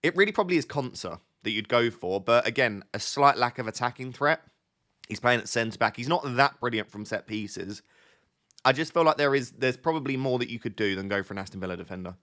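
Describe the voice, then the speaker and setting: A man with a mild cold chats casually on his live stream, his voice a touch sniffly. He talks at a middling pitch for a man. He thinks out loud, trips over his words and restarts his sentences as he answers viewers' questions. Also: animated, fairly quick. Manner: chatty, thinks aloud, restarts sentences often. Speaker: livestream host answering viewer chat.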